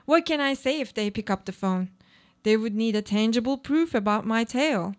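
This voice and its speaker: Woman acting as high-voiced haunted, anguished woman. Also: animated, moderate pace, natural voice. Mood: brooding, haunted. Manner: theatrical, introspective, brooding. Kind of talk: live radio play monologue.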